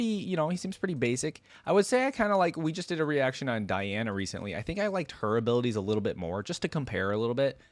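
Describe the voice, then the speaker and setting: A man hosting a reaction video, giving informal, excitable commentary and thinking aloud as he goes. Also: medium pitch, no room sound. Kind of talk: casual reaction commentary with some reading aloud.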